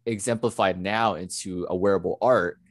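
A man with a notably deep, low-pitched voice, recorded dry with no room sound. He co-hosts a relaxed podcast chat. He speaks earnestly and reflectively, with plenty of "you know" fillers. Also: normal speed, no room sound. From United States. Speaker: podcast co-host. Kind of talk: casual podcast conversation.

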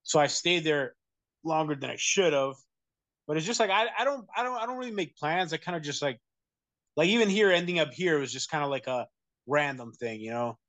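A man's voice: a little high, animated, brisk, fairly bright tone, no echo. A man from the United States, talking casually as an interview guest, rambling with many fillers and restarts. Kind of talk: casual conversation.

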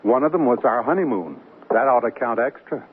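An actor playing a good-natured, plain-spoken new husband, wry and bemused, in a 1970s radio drama. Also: male voice, medium pitch, expressive, fairly quick, husky. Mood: dry, puzzled. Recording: muffled, noisy old radio broadcast.

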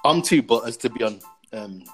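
A young man, relaxed and slangy, laughing easily as he co-hosts a podcast chat with friends. His voice sits at an ordinary middle pitch, with faint music somewhere in the background. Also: moderate pace. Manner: laid-back, laughs often, slangy. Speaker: podcast co-host. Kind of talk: casual chat among friends.

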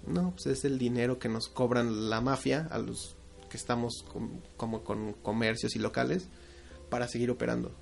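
A man's voice: medium pitch, fairly even intonation, normal speed, vocal fry. A young man with a Mexican accent chats loosely on a podcast, joking and swearing as he tells a story. Faint music plays underneath him. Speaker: podcast co-host. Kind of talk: casual chat.

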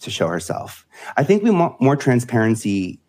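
A middle-aged man, a media advisor and guest on a podcast, talking in a rambling, animated way. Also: mid-range voice, normal speed, breathy edge. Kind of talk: casual interview chat.

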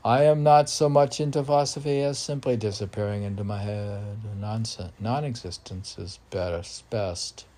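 A man's voice: fairly low pitch, normal speed, hardly any pauses, warm tone. A man reading his own poems aloud as a poet, with declamatory, emphatic delivery.

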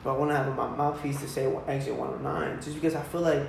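A man's voice: mid-range voice, steady tone, fast, vocal fry, heavy reverb, slight background noise. A young man who cooks and boxes, chatting casually and filling every sentence with dude and bro. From USA. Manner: laid-back, says dude and bro constantly. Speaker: cook and boxer. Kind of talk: casual chat.

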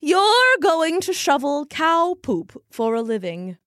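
A grandiose fortune-teller woman, as a storybook narrator puts her on, intoning her prophecies with loud stage bellows. Her voice rides high and swoops widely, with the timbre pushed away from plain speech. The sound is dry and close. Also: very animated, takes it slowly, no room sound, full character voice. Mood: mocking. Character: pompous theatrical female soothsayer.

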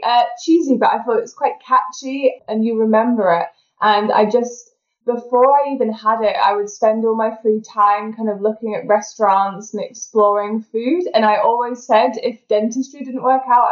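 A woman's voice: a little high, echoey room, lo-fi sound. A young woman from England with a fairly neutral English accent, chatting casually as an interview guest about her work and food blog, with lots of fillers and easy laughter. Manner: chatty, laughs often, many fillers like kind of. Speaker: dental therapist and food blogger, guest.

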